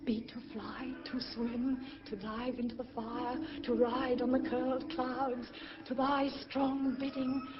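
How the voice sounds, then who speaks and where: A very high, airy spirit voice, eager and exultant, serving a master. The verse is declaimed in full theatrical audio-drama style. The recording is slightly muffled, with music behind it. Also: female voice, level tone, measured pace. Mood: eager, reverent.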